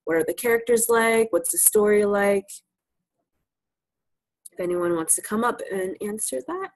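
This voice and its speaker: A woman's voice: a little high, big pitch swings, normal speed, gravelly. A woman presenting an informal, interactive lesson to a class, encouraging and affirming as she teaches. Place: live virtual presentation.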